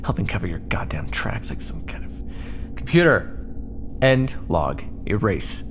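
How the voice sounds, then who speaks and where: A man playing a prickly, sarcastic starship crewman in an ASMR roleplay, talking with quick-tempered exasperation. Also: fairly low pitch, very animated.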